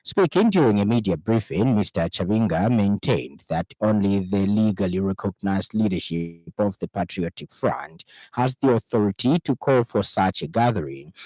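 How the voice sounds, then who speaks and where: A Zambian man reads a radio news bulletin in a lowish voice. His delivery is formal and measured, and the studio sound is dry and close. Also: warm tone, no echo, low-quality recording. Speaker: news reader.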